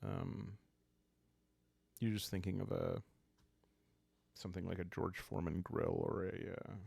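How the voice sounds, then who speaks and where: A man tells an improvised roleplaying story in a soft, creaky voice. He speaks very slowly and calmly, pausing to think as he goes. His delivery stays within a narrow, flat range, like bedtime narration. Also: low voice, dry recording. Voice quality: soft, calm. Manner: hesitant, thinking aloud with long pauses and sighs. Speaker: host and player of a solo roleplaying game. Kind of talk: calm unscripted storytelling for sleep, journaling game playthrough.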